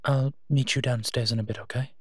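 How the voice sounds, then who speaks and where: A man playing a gentle, teasing monster who protects children, speaking softly in an intimate audio roleplay addressed to the listener. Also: medium pitch, fairly even intonation, slightly rough, dry recording, clean studio sound, lightly acted. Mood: warm, caring. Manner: soft-spoken, unhurried, playfully teasing.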